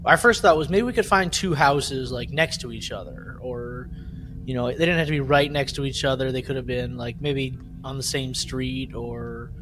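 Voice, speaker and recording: Male podcast panelist, mid-pitched, loose conversational storytelling, noisy recording. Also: moderate pace, a bit bright. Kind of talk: casual chat, personal storytelling.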